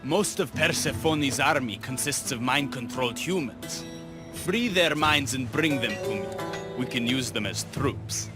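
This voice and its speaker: An actor playing a wry, lovelorn young rebel in a video game, speaking in a flowery, sardonic way. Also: male voice, medium pitch, lively intonation, unhurried, music in the background, lightly acted. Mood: conspiratorial. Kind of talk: video game cutscene acting.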